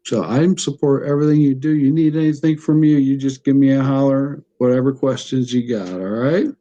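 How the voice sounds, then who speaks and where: Folksy, mellow-voiced podcast host. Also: male voice, mid-range voice, steady tone, a little fast, dull audio. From United States. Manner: enthusiastic, folksy, laughs often. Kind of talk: casual interview chat.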